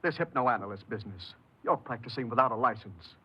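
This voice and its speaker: An actor playing a stern, reproving parole officer in a 1950s radio drama. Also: male voice, medium pitch, animated, fairly quick, slightly rough. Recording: muffled, hissy 1950s radio recording.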